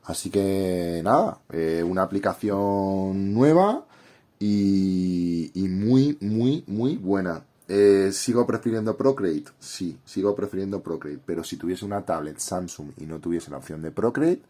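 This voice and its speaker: A man's voice: sits low, measured pace, mellow. A man with a Castilian Spanish accent and a head cold, chatting informally and directly to viewers as he hosts a casual tutorial and review. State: congested with a cold. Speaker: YouTube host reviewing a drawing app.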